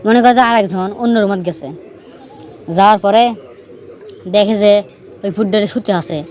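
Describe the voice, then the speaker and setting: A man's voice: high voice, fairly even intonation, normal speed, darkish tone, close to an everyday voice. A man with a northern Bangladeshi accent playing a teasing young riddler in an amateur cartoon, explaining things to his friend. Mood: mocking, explaining. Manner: teasing, explanatory, keeps checking the listener follows. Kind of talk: amateur cartoon dialogue, storytelling.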